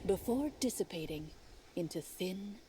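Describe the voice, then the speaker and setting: A woman voicing a calm female narrator who runs a tabletop role-playing game with measured, descriptive storytelling. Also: fairly low pitch, background noise. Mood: narrating.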